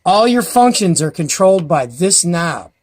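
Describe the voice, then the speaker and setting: A man's voice: sits high, sing-song, normal speed, crowd noise. An enthusiastic man hosts a casual gear review in a folksy, rambling way. He films at home by himself on a handheld camera mic. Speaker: host of a CB radio review channel. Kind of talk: casual product review and unboxing.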